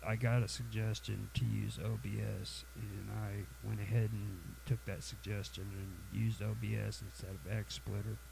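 A man with a cold hosting a casual software tutorial, halting and full of fillers and restarts. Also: fairly low pitch, level tone, creaky, background noise. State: has a cold, sniffly. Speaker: tutorial channel host.

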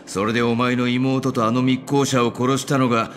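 A man voicing a cynical, rough-edged middle-aged security officer in a video game, talking coarsely with a sardonic drawl. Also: mid-range voice, flat delivery, moderate pace, a bit bright, faint music behind, close to an everyday voice. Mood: mocking amusement. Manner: coarse casual speech, lazy sardonic drawl. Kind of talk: dramatic video game voice acting.

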